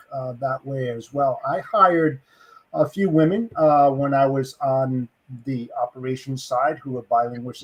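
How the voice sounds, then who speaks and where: A man's voice: medium pitch, husky, rough recording. A man hosting a podcast interview, supportive and casual, often stumbling over his words. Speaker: podcast host.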